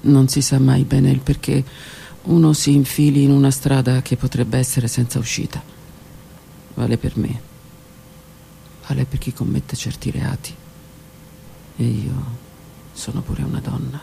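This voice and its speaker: A woman reading her own literary text aloud on the radio, in a slow, intimate voice that often drops to a whisper. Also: a bass voice, flat delivery, creaky, slight background noise, rough recording. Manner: slow, intimate, hushed delivery with whispered words. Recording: radio broadcast with faint music.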